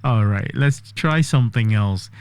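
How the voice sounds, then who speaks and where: A man's voice: medium pitch, a little slow, a little mellow, smooth, dry recording. A man vlogging a test of an AI voice assistant, explaining step by step and thinking aloud. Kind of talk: casual tech demo commentary.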